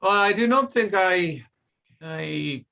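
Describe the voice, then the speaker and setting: A man's voice: high-pitched, expressive, slow, creaky, sharp tone, heavily acted. A man playing a defensive coroner giving formal, hesitant evidence in a table read of a courtroom play.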